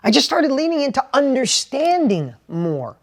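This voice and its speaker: New york guy, high-pitched voice, middle-aged, animated and plainspoken, keeps restarting his words. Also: normal speed, gravelly. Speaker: entrepreneur and sales educator. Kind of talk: motivational talk with personal story.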